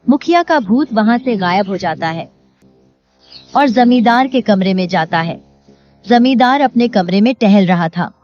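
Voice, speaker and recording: A woman narrates a scripted story at a medium pitch. She works as the storyteller between scenes. The recording is slightly dull, with music underneath. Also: expressive, measured pace, darkish tone. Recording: dull lo-fi audio.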